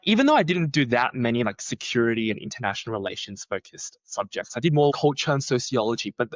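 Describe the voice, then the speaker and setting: A young man who is a researcher, talking in a chatty, animated way and filling his sentences with "like.". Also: fast, dry recording, lo-fi sound. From Australia. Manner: chatty, many "like" fillers, lively swings in pitch. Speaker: researcher, podcast guest. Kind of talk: casual conversational interview.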